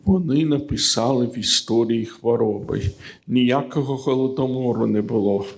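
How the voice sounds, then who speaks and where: A poet reciting his own verse aloud at a live reading, in a measured, solemn way. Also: mid-range voice, darkish tone. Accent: Russian.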